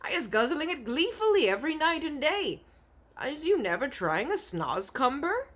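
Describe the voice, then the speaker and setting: A gentle, friendly giant with quaint broken grammar, lifted high and bright above the reader's own voice, as a woman puts him on. He sounds eager and animated, swooping up in pitch as he coaxes. A storybook figure read aloud. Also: normal speed. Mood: cheerful.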